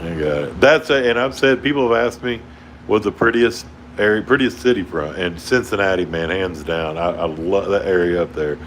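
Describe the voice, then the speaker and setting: A man's voice: low-pitched, normal speed. A man with an Oklahoma drawl chatting casually with livestream viewers from a towboat, rambling and chuckling often. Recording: steady air conditioner hum behind.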